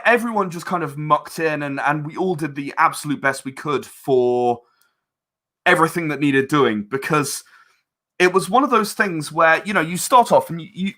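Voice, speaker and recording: A man's voice: on the high side, sharp tone. A man talking casually as an interview guest about his creative work, chatty and rambling as he explains. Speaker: interview guest, game and audio drama creator.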